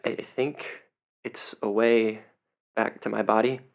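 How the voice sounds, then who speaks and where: An actor playing a cocky, flippant young male YouTube investigator in an audio drama, excitable and hesitant when challenged. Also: lowish voice, slow pace, vocal fry, highs cut off. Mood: uneasy, hushed. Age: young adult.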